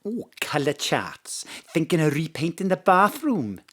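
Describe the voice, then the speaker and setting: A man's voice: a little high, big pitch swings, unhurried, fairly bright tone, exaggerated voice. A man voicing a blunt, folksy middle-aged Welsh mother who muddles her words in a comic audio drama. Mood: chatty, bossy. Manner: folksy, dialect grammar.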